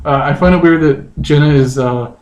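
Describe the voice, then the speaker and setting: A man's voice: a little high, moderate pace, a little mellow, reverberant, lo-fi sound. A man co-hosting a comedy podcast in casual, joking banter.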